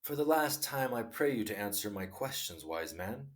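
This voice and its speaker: A man's voice: on the low side, animated, moderate pace, natural voice. A man voicing a male king earnestly pressing a wise man for answers in a read-aloud story. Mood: pleading.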